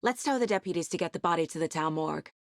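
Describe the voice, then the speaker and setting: An actress playing a determined, level-headed FBI agent in a video game, speaking in a measured, serious and slightly husky voice. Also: female voice, fairly low pitch, lively intonation, dry recording, clean studio sound. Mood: businesslike, focused. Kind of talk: naturalistic dramatic game dialogue and inner monologue.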